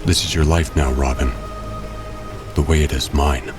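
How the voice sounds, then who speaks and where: A man's voice: very deep voice, fairly even intonation, normal speed, music in the background. A man playing a grim, stern masked superhero in an audio drama, speaking in terse, grave lines.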